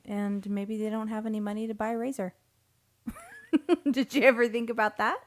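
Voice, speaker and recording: A woman's voice: fairly high pitch, lively intonation, moderate pace. A tired woman co-hosting a casual podcast, chatting wryly and laughing often. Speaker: podcast co-host. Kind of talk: casual banter.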